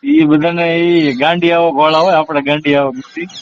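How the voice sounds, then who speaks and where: A man's voice: on the high side, steady tone, moderate pace, no echo. A man with a Saurashtra Gujarati accent chatting casually on a phone call in an earthy, droll, matter-of-fact way. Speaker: call recipient. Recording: noisy, dull lo-fi phone call recording.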